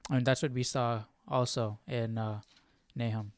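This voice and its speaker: A man hosting a casual Bible study livestream, haltingly thinking aloud as he comments. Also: medium pitch, fairly even intonation, dry recording.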